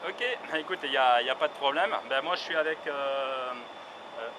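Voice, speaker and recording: A man's voice: medium pitch, a little fast, noisy background. A man doing a casual radio check outdoors with handheld radios, talking with lots of hesitations and fillers. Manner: hesitant, many fillers and restarts. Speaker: radio hobbyist testing handhelds. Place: outdoors on a high building in the city. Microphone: handheld radio and camera.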